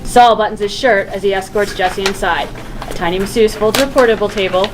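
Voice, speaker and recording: A woman's voice: mid-range voice, a little fast. A woman reading scripted stage directions as narrator at a table read. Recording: noisy room ambience.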